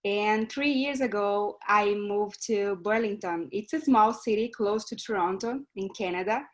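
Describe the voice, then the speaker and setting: A woman's voice: mid-range voice, a little slow. A woman from Brazil with a Brazilian Portuguese accent, giving an earnest, slightly hesitant self-introduction over a video call. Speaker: club member introducing herself. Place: online meeting.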